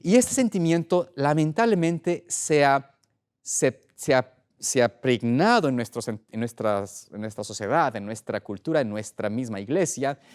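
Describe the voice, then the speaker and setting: A middle-aged man preaching as a pastor in an earnest, deliberate way, pausing for emphasis. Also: medium pitch, expressive, a little mellow, clear, resonant tone. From Ecuador. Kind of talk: sermon, opening with prayer.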